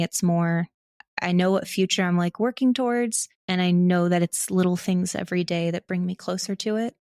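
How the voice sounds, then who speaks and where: Woman with lowish voice, dry close sound. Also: darkish tone, dry recording. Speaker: podcast guest, audio drama creator and actor. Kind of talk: casual reflective interview talk.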